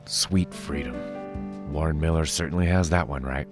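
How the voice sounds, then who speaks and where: Low-voiced American man narrating over loud music. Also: unhurried. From United States. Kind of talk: scripted documentary narration, reminiscing. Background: music with guitar.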